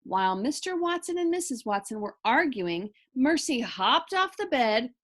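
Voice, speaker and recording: A woman reading a children's story aloud with expressive narration. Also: sits high, normal speed.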